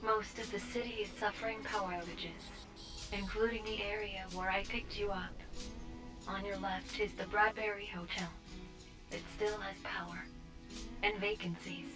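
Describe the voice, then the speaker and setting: A woman's voice: medium pitch, steady tone, lightly acted, rough recording. Woman as formal AI cab voice, music behind. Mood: polite, firm. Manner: formal, measured, apologetic. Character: polite, dutiful female AI of a self-driving cab. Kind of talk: scripted audio drama.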